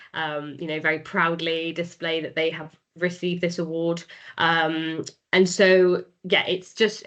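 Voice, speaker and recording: A woman's voice: on the low side, fairly bright tone. A woman presenting a charity's services in an informal, explanatory way, speaking conversationally and hesitantly with frequent ums. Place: online video meeting recording. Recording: muffled online meeting audio.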